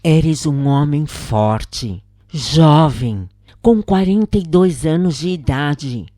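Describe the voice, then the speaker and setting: A woman narrator plays an angelic inner voice of hope in a spiritual story reading. It is low and very unhurried, earnest and reassuring, recorded dry. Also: expressive, mellow, no room sound.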